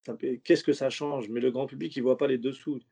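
A man's voice: mid-range voice, steady tone, brisk, vocal fry, warm tone, husky. A man who is a stand-up comedian, talking loosely in a casual interview, slangy and rambling with many restarts. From French suburbs.

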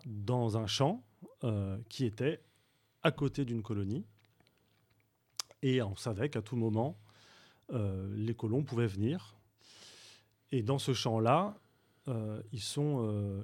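A man speaking as a guest on a radio talk show, recounting his volunteer trip in a halting way with frequent fillers and restarts. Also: mid-range voice, a little mellow. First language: Arabic speaker. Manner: hesitant, many fillers and restarts. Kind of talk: radio interview.

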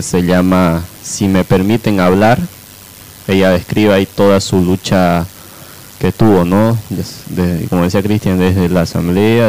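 Hombre boliviano de voz grave y monótona. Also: male voice, low voice, a little mellow, low-quality recording. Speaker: radio show panelist. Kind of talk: casual radio commentary.

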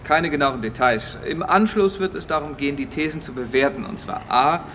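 An actor playing a formal, bossy government aide running a meeting in a German radio play. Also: male voice, a little high, normal speed, a bit bright, a little hiss or hum, natural voice. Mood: brisk.

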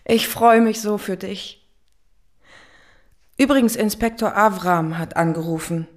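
A woman's voice: medium pitch, unhurried, natural voice. An actress playing a supportive, affectionate wife and mother in a radio drama.

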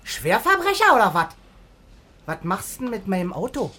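An actor playing a brash, streetwise car rental owner with a Berlin accent, gruff, slangy and taunting in a radio crime drama. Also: mid-range voice, wildly swooping pitch, normal speed, pushed and bright, exaggerated voice.

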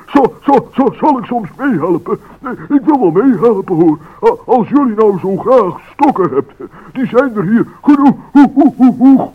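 A man playing a giggly, stammering, bumbling but sly mole in an old radio play, with a husky voice. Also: very high-pitched, animated, a little slow, hardly any pauses, full character voice. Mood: chuckling, sly. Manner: stammering, chuckling. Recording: dull old radio recording.

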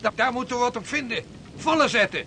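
An actor playing a stern, gruff skipper of a stranded crew in a period radio play. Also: male voice, very high voice, animated, quick pace, bright, forceful tone, full character voice. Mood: pleased. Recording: hissy old radio drama recording.

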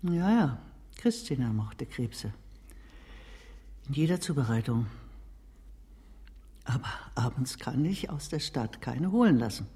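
A woman's voice: a bass voice, sing-song, a little slow, pauses often, dark tone, heavily acted. A woman acting in a German radio drama as a very old, stern nursemaid and confidante, plain-spoken and unflinching. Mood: matter-of-fact. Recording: long reverberant hall, music behind.

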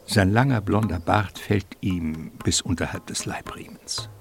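Low, creaky male radio play narrator. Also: measured pace, soft background music.

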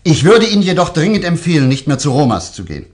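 Sardonic bossy detective, very animated intonation, radio thriller. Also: male voice, fairly high pitch, quick pace. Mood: calm, firm. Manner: sardonic, theatrical, commanding. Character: shrewd, mocking male private investigator who bullies his quarry. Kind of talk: German radio crime drama acting.

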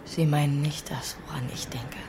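A woman's voice: low voice, fairly even intonation, normal speed, lightly acted. An actress playing a principled, disgusted woman adrift at sea in a radio horror drama. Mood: alarmed disbelief. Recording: loud ambient noise behind.

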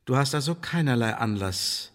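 An actor playing a mocking, menacing secret service interrogator in a German radio drama, speaking with bureaucratic, sardonic authority. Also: male voice, medium pitch, animated, moderate pace, close to an everyday voice. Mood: stern, official. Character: cold, mocking male secret service interrogator presiding over tribunal.

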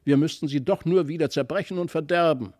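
A man's voice: fairly high pitch, fairly quick, a little mellow, natural voice. A man voicing an earnest, sometimes grumbly young country boy in a storybook reading. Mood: grumbling, regretful.

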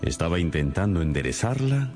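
A shrewd, self-assured detective with a deep voice. He sets out his deductions deliberately and theatrically, with big swings in intonation, as in a radio drama with music behind him. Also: very animated. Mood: assured, explanatory.

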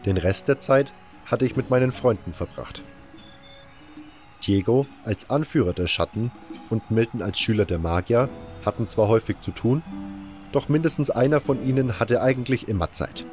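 A man playing a fantasy convict who recounts camp life in measured, matter-of-fact first-person audio drama narration. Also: medium pitch, a little mellow, soft background music, close to an everyday voice. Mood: matter-of-fact recollection.

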